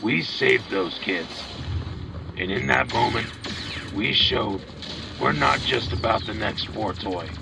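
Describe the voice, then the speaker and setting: A man playing a rousing, patriotic wartime radio commentator in a scripted broadcast, with a gravelly voice and emphatic delivery. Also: on the low side, slow pace, exaggerated voice. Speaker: radio host. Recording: clear vehicle and machinery noise behind.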